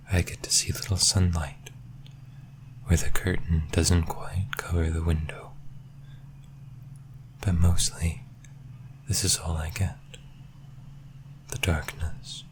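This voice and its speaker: Man voicing a very deep, hushed, lonely demon. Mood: hushed, wistful. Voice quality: very deep, breathy, often dropping into whisper. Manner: slow, hesitant, hushed, intimate. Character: lonely, clingy male sleep paralysis demon, shy and wistful. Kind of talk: intimate audio roleplay monologue.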